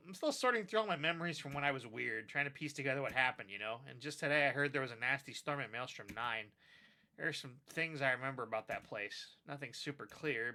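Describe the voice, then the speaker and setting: A man's voice: mid-range voice, bright, forceful tone. A man reading the phone-call lines of an earnest, shaken young male trainer in a game, with a sinusy voice.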